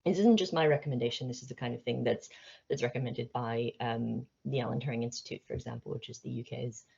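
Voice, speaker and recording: A woman's voice: very deep voice, quick pace, vocal fry, dark tone, breathy edge, muffled recording. A woman with an American accent gives an informal presentation as a conference speaker, in a conversational, wryly humorous manner. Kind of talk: informal presentation to business audience.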